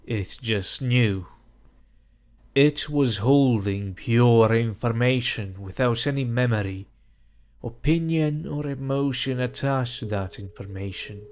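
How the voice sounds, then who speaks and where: A man reading a dark fantasy story aloud as narrator, slow and measured. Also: mid-range voice.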